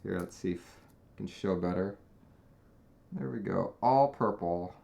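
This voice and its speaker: A chatty man from Taiwan hosts a casual live stream in a somewhat low voice. He talks in a loose, relaxed way and often restarts his phrases. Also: unhurried, creaky. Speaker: host.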